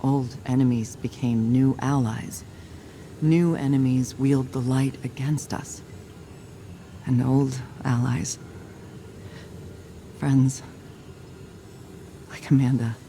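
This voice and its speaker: A man's voice: medium pitch, steady tone, slow, long pauses, dark tone, a little hiss or hum. A man playing a weary, haunted soldier who reflects in a subdued monologue in a video game. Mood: troubled, reflective. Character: weary, haunted soldier confiding doubts, resolute about duty. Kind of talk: scripted game dialogue.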